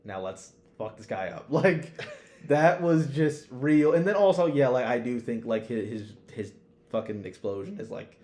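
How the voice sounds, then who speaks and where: A man's voice: medium pitch, expressive, normal speed, mellow. A man co-hosting a podcast, chatting casually about anime with lots of slang and heavy swearing. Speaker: podcast co-host. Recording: roomy, echoey sound.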